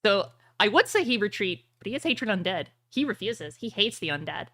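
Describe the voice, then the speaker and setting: A woman's voice: mid-range voice, very animated, brisk, pushed and bright. A woman narrating and refereeing a tabletop roleplaying game as its game master.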